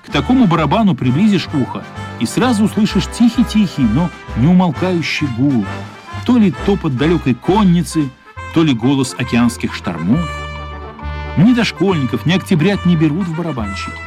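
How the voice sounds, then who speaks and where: A man with a fairly high voice narrates a radio play. His scripted storytelling is expressive and animated in pitch, slipping into the characters' thoughts. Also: moderate pace, music in the background.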